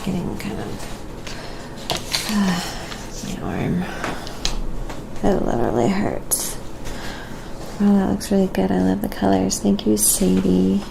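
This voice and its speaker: Very creaky woman murmuring while she paints. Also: medium pitch, slow, long pauses, noisy background. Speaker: live-streaming painter and host. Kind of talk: quiet ASMR painting livestream chat.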